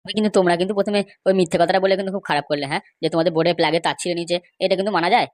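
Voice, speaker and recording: A woman's voice: fairly low pitch, steady tone, racing, no echo, natural voice. A woman playing an aggrieved, scheming male villager in a comedy cartoon, complaining in an emphatic, gossipy way. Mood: indignant. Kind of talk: amateur comedy cartoon dialogue.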